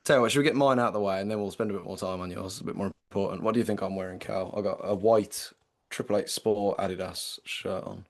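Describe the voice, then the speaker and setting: A man in his late twenties with an English accent and a slightly croaky voice, co-hosting a casual football podcast and chatting with lots of fillers. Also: deep voice, steady tone, quick pace. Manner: chatty, many fillers and restarts. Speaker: podcast co-host.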